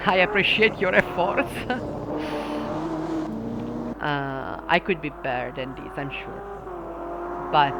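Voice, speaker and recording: Italian guy commenting sim races, slow and halting, lots of ums, race car engines blaring behind. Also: high-pitched, creaky. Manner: hesitant, lots of ums and restarts, self-deprecating. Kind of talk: casual livestream chat, answering viewer comments. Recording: loud racing-game engine noise behind voice.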